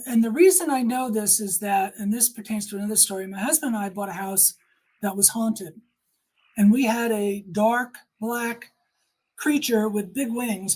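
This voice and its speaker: Middle-aged female, earnest testimonial storyteller, lightly husky tone, conversational and emphatic. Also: mid-range voice, darkish tone, poor audio.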